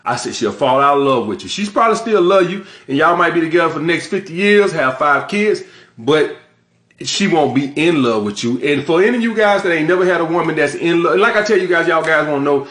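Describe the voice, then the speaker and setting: A man's voice: a little high, expressive, brisk, a bit bright, echoey room. A man giving dating advice straight to his audience, talking bluntly and swearing for emphasis. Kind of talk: direct-to-camera advice monologue.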